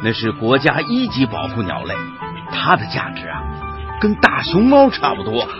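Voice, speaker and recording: A knowledgeable, friendly wildlife official in a radio play. His mid-range voice rises and falls dramatically as he explains. The sound is slightly dull, with music clearly underneath. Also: medium pitch, moderate pace. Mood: genial explaining. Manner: genial, explanatory, expressive. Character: genial, knowledgeable male wildlife conservation official.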